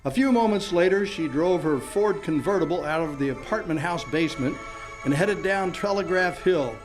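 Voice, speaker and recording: Casting: male actor as radio mystery announcer, high voice, scripted delivery over music. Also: lively intonation, normal speed, natural voice. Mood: storytelling. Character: radio mystery announcer and narrator, pitching a detective. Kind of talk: old-time radio announcing, scripted narration.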